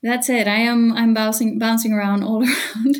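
Woman guest from bulgaria, higher pitched, lively and chatty on a podcast call. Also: normal speed. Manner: lively, chatty, laughs often. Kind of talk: casual interview chat.

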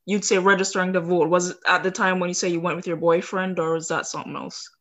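A woman hosts an interview and asks her questions in a relaxed way, full of fillers and restarts. Her voice sits a little on the low side. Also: fast talker. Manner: casual, with many fillers and restarts. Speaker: interview host. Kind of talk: hosting a recorded interview.

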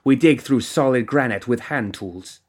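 A man voicing a fierce, resentful woman, a rail-worker rebel bound by duty, with a stiff, forceful delivery in an audiobook. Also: medium pitch, moderate pace, close to an everyday voice. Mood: boiling anger. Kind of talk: audiobook character acting.

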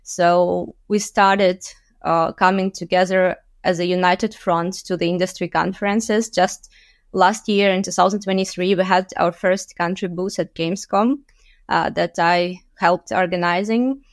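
Low-voiced woman guest. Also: steady tone, measured pace, no echo, studio quality. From Ukraine. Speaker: guest, game company co-founder. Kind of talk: interview answers. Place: home in London.

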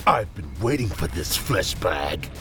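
A man's voice: sits low, sing-song, a little slow. A man playing a menacing, contemptuous cyborg cult soldier in an audio drama, with a sneering theatrical delivery. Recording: loud music over the voice.

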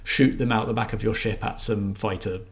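A man's voice: lowish voice, fairly even intonation, fairly quick. A man chatting casually as a podcast co-host and game master. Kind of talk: casual chat.

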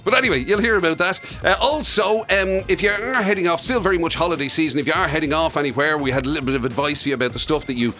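A man's voice: fairly high pitch, racing, few pauses, sharp tone, soft background music. An Irish man hosting casual, comic radio banter in a studio, with a teasing, conversational manner. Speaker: radio show host.

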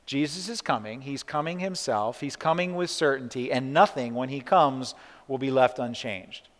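A man's voice: mid-range voice, normal speed, in a large hall. A man preaching a sermon as pastor, talking conversationally with many "right?" asides and restarts.

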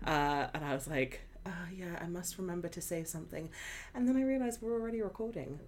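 A woman's voice: lowish voice, fairly quick, a little mellow, a little hiss or hum. A woman co-hosting a podcast from home, bantering playfully and teasing her friend. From England. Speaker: podcast co-host. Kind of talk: casual chat. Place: home flat.

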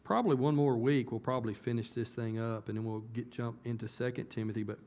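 A hoarse man, a pastor talking to his congregation in a casual, folksy way that turns emphatic. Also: mid-range voice, fairly even intonation, fast talker, a little mellow. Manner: folksy, conversational, rising to emphatic. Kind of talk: casual church announcements and sermon. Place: church sanctuary.

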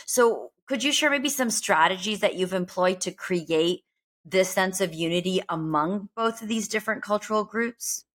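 A woman's voice: medium pitch, normal speed, a bit bright. A woman hosting a radio interview, asking questions in a friendly, conversational way. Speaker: radio host.

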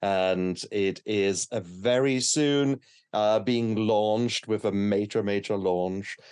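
Low-pitched, encouraging interview host. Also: slow, a little mellow, clear, resonant tone, no echo, studio quality. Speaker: interview host, book co-author.

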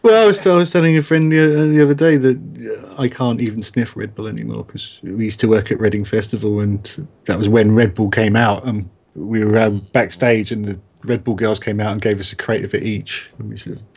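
A man with a low, husky voice, chatting on a group podcast. His remarks are dry and teasing. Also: steady tone, brisk, hardly any pauses, darkish tone. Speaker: podcast co-host. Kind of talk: casual group chat.

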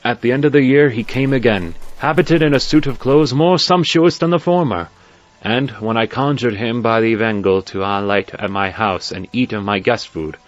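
Man acting a courteous broker, theatrical and mid-pitched. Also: moderate pace, close to an everyday voice. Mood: courteous, eager. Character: courteous, curious male broker narrating his story. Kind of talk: theatrical audio drama storytelling in archaic English. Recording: dull lo-fi sound, faint music behind.

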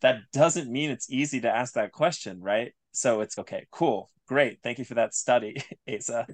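A man speaking as a writer interviewed on a podcast, thoughtful and searching, piecing his sentences together in halting phrases. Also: mid-range voice, no room sound. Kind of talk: reflective interview talk.

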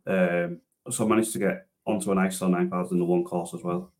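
Low-voiced man in his thirties, rambling. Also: level tone, fairly quick, darkish tone. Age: 30s. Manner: rambling, many restarts and fillers, self-deprecating. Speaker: interview guest, transport manager and driver trainer. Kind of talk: casual podcast interview.

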